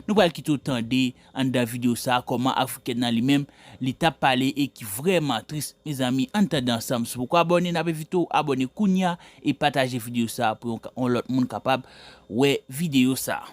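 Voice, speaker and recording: A man's voice: medium pitch, normal speed, dry recording. A man with a Haitian Creole accent hosting a news commentary show, speaking emphatically with repeated phrases.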